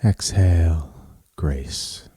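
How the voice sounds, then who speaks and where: Male meditation guide: dark, soft-edged husky tone, soothing and measured delivery in short, spaced phrases. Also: a bass voice, no room sound.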